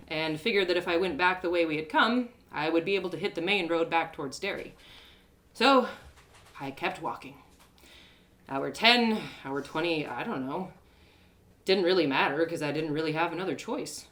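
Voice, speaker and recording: A woman's voice: mid-range voice, a bit bright, lightly acted. A woman voicing a naive, plucky young female adventurer telling her own story in an audio drama, dramatic and exclaiming. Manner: dramatic, exclaiming, stammering in distress.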